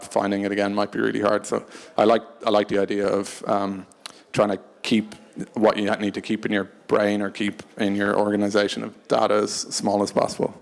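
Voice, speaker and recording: A man speaking unscripted on a discussion panel, hesitant and halting, often restarting his phrases. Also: lowish voice, gravelly. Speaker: panelist from a tech company.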